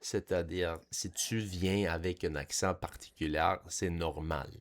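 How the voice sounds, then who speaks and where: A man hosting a podcast, explaining in a lively conversational way and checking in with his listener. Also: low voice, a little slow. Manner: animated, explaining, checks in with "tu sais?". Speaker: podcast host. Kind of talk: casual conversation.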